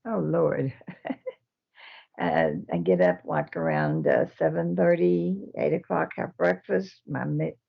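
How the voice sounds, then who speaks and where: An older woman from Texas, a care home resident answering interview questions in a slow, halting way with many fillers. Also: low-pitched, vocal fry, husky. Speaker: nursing home resident and resident council president. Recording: dull lo-fi recording.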